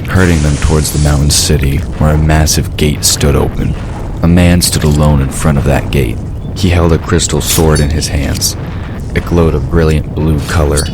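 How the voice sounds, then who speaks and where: A man with an exceptionally low, deep voice narrates a fantasy audio drama. His delivery is measured, scripted storytelling, with clearly audible ambient effects noise behind him. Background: ambient sound effects.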